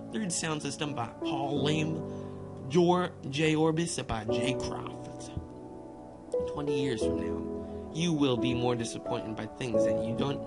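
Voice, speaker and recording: A man's voice: mid-range voice, vocal fry. A man doing casual amateur narration for a gaming video, informal and often stumbling over words and names. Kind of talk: casual read-aloud narration with loose asides. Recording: music playing behind the voice. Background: loud instrumental music.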